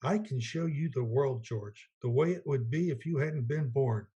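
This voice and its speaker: A man playing a gentle, eager, naive guardian angel in a radio play, earnest and quaintly polite, with a husky voice. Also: medium pitch, normal speed, dull audio. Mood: earnest, coaxing. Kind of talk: 1940s-style radio play acting.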